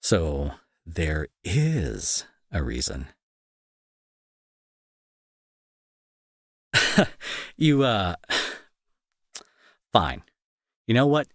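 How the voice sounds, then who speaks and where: A husky young guy acted out by a man plays the gruff bully who cares more than he admits. His pitch swings widely as he scolds the listener with exasperated sarcasm, in a close, dry audio roleplay. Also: wildly swooping pitch, extremely slow, long pauses, no room sound, exaggerated voice. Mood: sarcastic, irritated. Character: gruff young male bully, secretly worried and protective. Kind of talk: intimate audio roleplay acting, addressed to listener.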